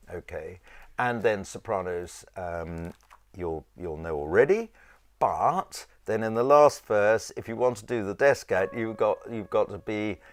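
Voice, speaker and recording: A man with a low voice teaching a choir in an informal, rambling and halting conversational way. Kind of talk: informal instructional talk with sung demonstrations.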